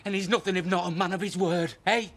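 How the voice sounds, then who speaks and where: A man's voice: sits high, fairly even intonation, quick pace, very noisy. An actor playing a wounded young man who answers with bitter, mocking sarcasm. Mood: sarcastic. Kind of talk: broadcast drama acting.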